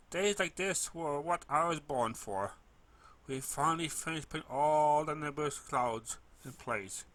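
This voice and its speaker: A man narrating a first-person story expressively, with an animated manner and the occasional stumble over words. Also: fairly high pitch.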